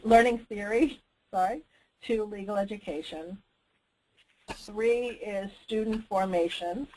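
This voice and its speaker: A woman leading a group conference call as organizer, talking informally and tentatively, thinking aloud and checking in with the others. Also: medium pitch. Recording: noisy conference call line.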